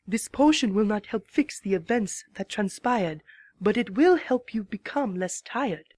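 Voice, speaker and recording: A woman voicing a wise, mysterious female zebra potion-maker who speaks in slow, measured rhymes with a slightly husky voice. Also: medium pitch, expressive, a little mellow, dry recording, pitched below the performer's own voice. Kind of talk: fan dramatic reading.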